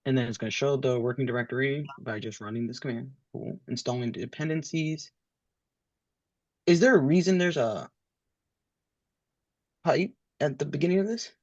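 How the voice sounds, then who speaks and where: A man learning as a student, thinking aloud haltingly as he reads through material and checks his understanding. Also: medium pitch, no room sound, muffled recording. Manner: tentative, halting, asks to confirm understanding. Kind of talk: thinking aloud while reading through code.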